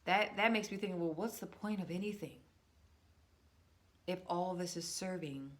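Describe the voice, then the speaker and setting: A woman talking to her viewers in a casual, rambling monologue, slowing down to stress words and restarting her sentences often. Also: on the low side. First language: English. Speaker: vlogger.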